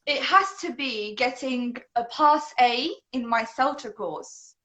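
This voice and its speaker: A woman's voice: sits high, slow pace, fairly bright tone. A young woman from London, an English teacher answering interview questions over a video call, upbeat and fluent. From London, England. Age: young adult.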